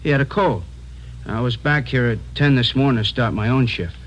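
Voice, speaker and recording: Actor as hesitant warehouse shipping clerk. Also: male voice, mid-range voice, close to an everyday voice. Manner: hesitant, halting. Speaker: actor in radio drama. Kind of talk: 1940s radio acting. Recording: noisy vintage radio recording.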